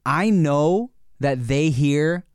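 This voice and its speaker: A man's voice: on the high side, very slow, clear, resonant tone, no room sound, clean studio sound. A man co-hosting a podcast, talking in a laid-back way, with lots of fillers and restarts. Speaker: podcast co-host. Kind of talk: casual podcast chat.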